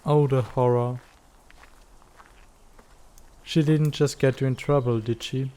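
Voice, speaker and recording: A man's voice: medium pitch, fairly even intonation, takes it slowly, warm tone, close to an everyday voice. A man playing a snarky, laid-back but caring teenage schoolboy in a comic fan dub, with a dry, sarcastic delivery. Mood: wry, skeptical.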